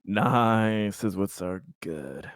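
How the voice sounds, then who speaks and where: A man's voice: lowish voice, lively intonation, drawn-out words, no echo, studio quality. A man bantering casually as a player in a tabletop roleplaying game. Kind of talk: casual chat.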